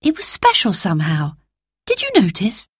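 A woman voicing a thoughtful, sensitive young girl, the eldest sister in a storybook reading, with a soft, wistful manner. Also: medium pitch, wildly swooping pitch, normal speed, slightly rough, no echo, studio quality. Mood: puzzled.

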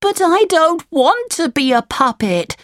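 A woman's voice: very high-pitched, very animated, measured pace, no room sound, studio quality, pitched above the performer's own voice, heavily acted. A woman voicing a childlike, anxious wooden puppet boy who pleads and questions in a children's storybook. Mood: pleading. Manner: pleading, wide-eyed questioning.

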